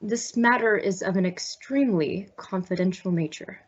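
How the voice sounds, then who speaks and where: A woman's voice: medium pitch, normal speed, lightly acted. A woman playing a stuffy, worried male executive with a formal, hesitant manner in a radio mystery. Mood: formal, uneasy. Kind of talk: old-time radio mystery acting. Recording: dull lo-fi audio.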